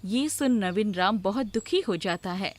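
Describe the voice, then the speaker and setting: A woman's voice: medium pitch, lively intonation, a little slow, no echo. A woman reading scripted storybook narration as the storyteller.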